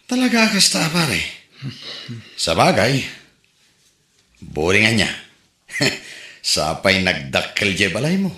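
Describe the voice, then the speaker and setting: A man with an Ilocano accent plays a joking friend in a comedy skit, laughing as he ribs his buddy. He speaks slowly in a lowish but bright voice, with lively swings in pitch. There is a clear room echo. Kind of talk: comedic dialogue acting.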